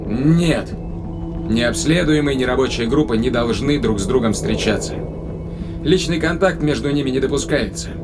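A medium-pitched spaceman played by a man lays things out in a measured, practical way. It is science fiction audio drama, with loud music running behind the voice. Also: expressive, natural voice. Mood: matter-of-fact. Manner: measured, explanatory. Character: matter-of-fact human astronaut explaining to an alien.

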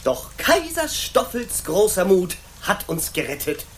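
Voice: medium pitch, big pitch swings, a little slow, slight background noise. An actor playing a teasing court fool who talks in singsong rhymes in a radio play. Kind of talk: German radio play acting.

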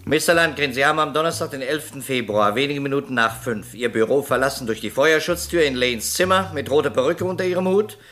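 A man's voice: mid-range voice, fairly quick, few pauses, pushed and bright, faint music behind, natural voice. A man playing a shrewd, methodical police detective in a German crime radio play, speaking precisely and insistently with an accusatory edge. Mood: accusing, insistent. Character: shrewd, methodical male police detective confronting a murder suspect.

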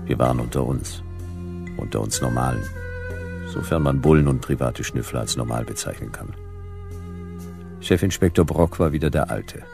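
An actor playing a world-weary, wisecracking private detective, narrating a radio drama in a dry, laconic, ironic way. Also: male voice, very deep voice, moderate pace, long pauses, over music. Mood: dry, wry. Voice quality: gravelly.

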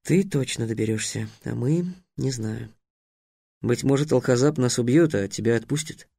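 A stubborn boy wizard who mutters low and gloomy, pressing his point without giving ground, as a male narrator puts him on. The voice has a gravelly edge in a dry, close recording. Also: sing-song, normal speed, a little mellow, no echo. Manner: low, grumbling, insistent.

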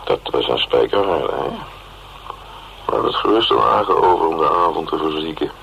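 A wry, cynical working man with a Netherlands Dutch accent speaks in a gravelly, very low voice. He rambles sardonically and ruefully, as in a radio play. The recording is dull, with a faint hiss. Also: animated, moderate pace, fairly bright tone, heavily acted. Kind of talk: radio drama acting.

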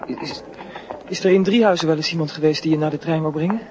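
Man playing a shy, higher-voiced schoolboy. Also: background noise, close to an everyday voice. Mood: shy, tender. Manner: hesitant, halting. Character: earnest, shy schoolboy in love, dutiful son. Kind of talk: radio play acting.

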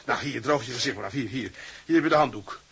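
A man's voice: mid-range voice, fast talker, a bit bright, close to an everyday voice. A man playing a proud, blustering young ship's mate and affectionate husband in a radio drama, talking colloquially. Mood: gruff soothing. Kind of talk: Dutch radio drama acting.

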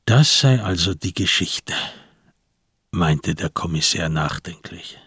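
A man narrates a crime story for radio in a deep, heavily husky voice. His delivery is measured and suspenseful, like scripted literary reading, and the sound is dry and close. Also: expressive, fairly bright tone, dry recording.

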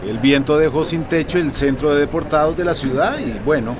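A man plays an irritable town mayor in a radio drama. His voice is fairly high for a man, official yet harried, over faint background noise. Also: fairly quick, lightly acted. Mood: exasperated. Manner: exasperated, official.

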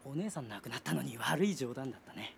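An actress playing a casual, joking modeling-agency manager in a radio drama. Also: female voice, very deep voice, animated, fast talker, creaky, exaggerated voice. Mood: breezy. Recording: noise behind the voice.

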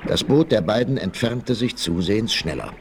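A man's voice: on the low side, expressive, normal speed. A man narrating a scripted adventure story for a 1960s radio play. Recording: slightly noisy old vinyl record.

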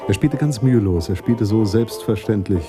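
A man with a fairly low voice gives a monologue in short, insistent phrases. Music plays clearly behind him. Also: normal speed. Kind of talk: dramatic monologue about music.

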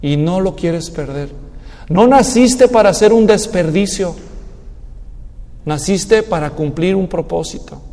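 Man, preacher, high voice, emphatic sermon, long reverb of a hall. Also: slow pace, dark tone. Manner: emphatic, acts out excuses in a mocking tone.

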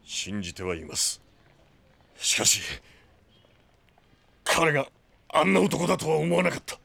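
An actor playing a stern, proud comrade in an audio drama, grave and reproving. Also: male voice, fairly high pitch, very animated, unhurried, vocal fry, bright, forceful tone, husky, dry recording, heavily acted. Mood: disappointed. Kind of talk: Japanese anime-style audio drama acting.

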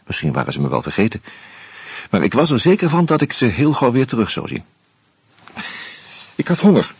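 A man narrating a radio drama in the first person, measured and reflective. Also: medium pitch, wildly swooping pitch, vocal fry, husky, no room sound.

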